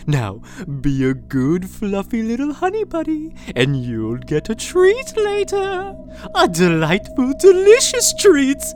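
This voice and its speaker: A woman playing a sweet-talking but unstable female captor in an audio drama, using syrupy baby talk that breaks into shrill screaming fits. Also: huge pitch swings, takes it slowly, darkish tone, full character voice. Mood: sweetly menacing.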